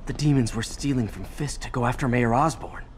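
An earnest young American superhero played by a man in a video game, quick-witted and conversational. His pitch sits in the middle range, with clear noise of game effects behind him. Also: close to an everyday voice. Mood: alarmed.

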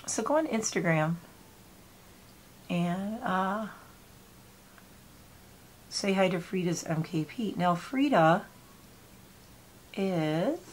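Makeup vlogger, forties, sniffly, chatty and meandering, a bit of faint room hiss. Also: female voice, fairly low pitch, expressive, extremely slow, pauses often. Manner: chatty, rambling, talks while applying makeup. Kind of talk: casual get-ready-with-me chat. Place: small home room with gaming chair.

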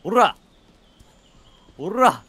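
A man in casual game chat, blunt and excitable, with rough wording and exclamations. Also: sits high, extremely slow. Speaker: livestreamer playing co-op game.

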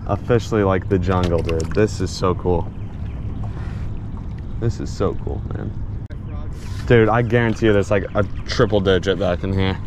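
A young man with a fairly low voice talks excitedly while he fishes from a kayak, laughing and throwing in a lot of "dude." Outdoor noise from the water and the boat is clearly heard under his voice, as picked up by a small camera on the kayak. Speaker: fishing vlogger. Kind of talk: casual running commentary while fishing.

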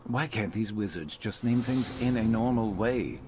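An actor playing a brooding, determined reptilian warrior in a video game, terse and guarded. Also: male voice, lowish voice, normal speed, natural voice. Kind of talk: video game character dialogue.

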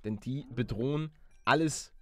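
A man hosting a Let's Play in lively casual banter, playful and excitable, often stammering and repeating words. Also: mid-range voice, measured pace.

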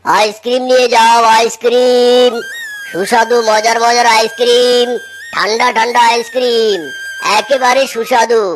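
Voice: mid-range voice, little pitch movement, normal speed, natural voice. A performer voicing a male tiger uncle in a children's cartoon, an ice cream seller who calls out repetitively. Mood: hawking. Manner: calling out, repetitive sales patter. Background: music.

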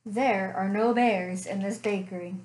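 A young woman reading a picture book aloud for children, slow and expressive with playful sound effects, recorded on a webcam in a small room at home. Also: medium pitch. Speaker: children's librarian. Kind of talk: storytime read-aloud.

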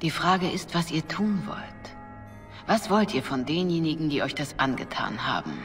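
Game role: a calm, dignified revered mother, middle-aged, measured and formal, regretful undertone, music underneath. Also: low-pitched. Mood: firm, counselling. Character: composed, remorseful older Orlesian revered mother, a priestess. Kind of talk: dramatic video game voice acting.

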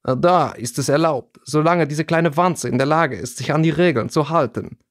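Man acting older teenage boy, gruff, taunting, irritated, fantasy audiobook rival. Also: a little high, normal speed, no room sound, studio quality, voice pushed up, lightly acted. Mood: irritated, challenging. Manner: mocking, challenging.

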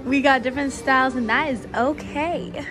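A woman's voice: sits high, steady tone, normal speed. Gushing young woman vlogging a mall. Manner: gushing, addresses viewers as y'all and you guys. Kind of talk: casual vlog chat. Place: walking through a shopping mall. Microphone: handheld phone camera.

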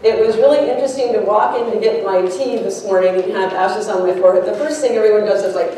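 A middle-aged American woman preaches in an informal, anecdotal way, like someone telling stories to people she knows. Her voice is soft-edged and mellow. It rings heavily around a church and is picked up from a distance. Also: medium pitch, quick pace, slight background noise. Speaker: priest, preacher. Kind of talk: conversational storytelling sermon. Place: large church sanctuary, speaking before a congregation. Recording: echoey church, picked up from afar.

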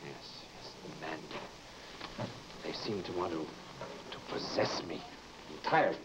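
Casting: haunted protective husband, vintage melodrama, lowish voice. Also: male voice, unhurried. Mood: troubled, pleading. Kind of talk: old-time broadcast drama acting. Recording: very noisy old broadcast recording.

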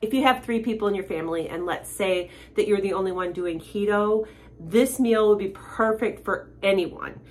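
A woman's voice: mid-range voice, normal speed. A woman with a cold and a stuffy, nasal voice, from the United States, chatting casually as she walks through a home cooking video and explains prices step by step. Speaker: keto cooking channel host.

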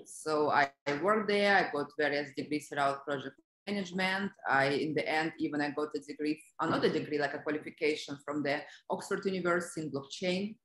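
A woman with a Russian accent and a low-pitched voice talks conversationally as an interview guest. She is on a video call, and the room echoes around her voice. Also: a little fast, a bit bright. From Russia (Siberia). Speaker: interview guest, business development professional. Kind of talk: conversational interview, telling career story.

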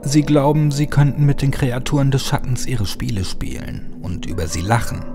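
A man's voice: mid-range voice, expressive, normal speed, gravelly, over music. Man acting a cold, menacing shadow killer. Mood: cold, scornful. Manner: menacing, accusing.

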